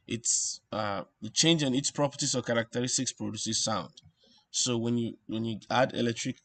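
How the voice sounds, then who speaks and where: A man giving an informal technical lesson as he draws, explaining step by step in a halting way and thinking aloud. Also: fairly low pitch, vocal fry, a bit bright. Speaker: instructor presenting an electronics tutorial. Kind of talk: informal technical lesson, talking while drawing.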